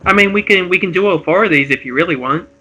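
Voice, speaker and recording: Bright-voiced, jovial man with higher pitch. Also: fast talker, rough recording. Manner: jovial, chuckling. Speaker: co-host and script reader. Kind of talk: casual chat and script narration.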